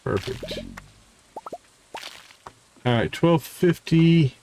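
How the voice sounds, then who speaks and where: A man giving casual live video game commentary, chatting and thinking out loud. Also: a little high, wildly swooping pitch, extremely slow, a little hiss or hum. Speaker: gaming streamer.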